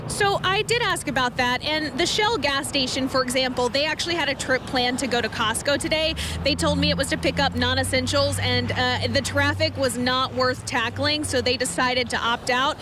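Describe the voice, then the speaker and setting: A woman's voice: high voice, moderate pace, hardly any pauses. A woman with an American accent reporting live from the field for TV news, outdoors by a road. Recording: outdoor live shot, clear traffic noise. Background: street and vehicle noise.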